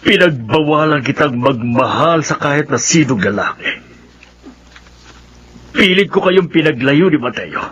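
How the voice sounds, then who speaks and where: A man's voice: a little high, animated, measured pace, long pauses, slightly rough, muffled recording, exaggerated voice. A man playing a stern, resentful middle-aged father in a radio drama, confrontational in manner. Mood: grave, stern. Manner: forceful, confrontational.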